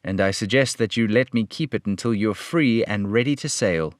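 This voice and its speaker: A man voicing a firm, upright police detective in an old-time radio play, speaking in a measured, lecturing way. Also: fairly low pitch, no echo, clean studio sound, close to an everyday voice. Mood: reassuring.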